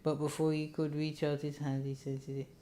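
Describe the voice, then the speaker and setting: Low-voiced woman narrating a horror game. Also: level tone, moderate pace, mellow, slight background noise. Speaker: game streamer reading a visual novel aloud. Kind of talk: dramatic narration with casual asides.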